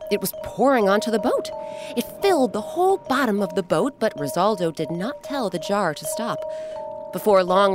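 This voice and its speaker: A woman narrating a scripted children's story as host, with dramatic, expressive, deliberate phrasing. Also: mid-range voice. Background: music.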